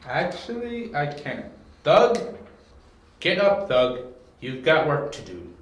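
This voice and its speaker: A man's voice: fairly high pitch, wildly swooping pitch, slow pace, exaggerated voice. A man playing a cold, curt crime boss who gives commands in an amateur audio drama. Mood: sharp, commanding. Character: cold, bossy male crime boss villain. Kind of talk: hobby audio drama acting. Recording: echoey room.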